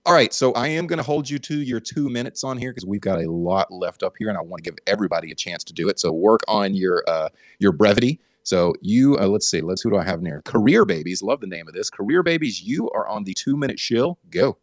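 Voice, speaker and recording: A man's voice: lowish voice, expressive, fast talker, few pauses, dry recording. A man from Texas hosting a casual live audio chat in an easygoing way, with fillers. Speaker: host.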